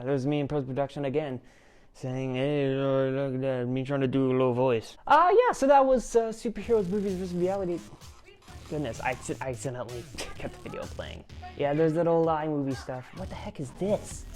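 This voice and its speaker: A man's voice: mid-range voice, lively intonation, brisk, a little mellow, soft background music. A man hosting his channel, rambling through a casual reaction commentary, mocking himself with frequent laughs and restarts. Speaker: channel host.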